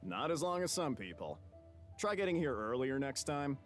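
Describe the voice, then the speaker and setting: An actor playing a dismissive, smug clinic gatekeeper in a video game, casual and condescending. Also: male voice, medium pitch, big pitch swings, moderate pace, loud music behind the voice, lightly acted.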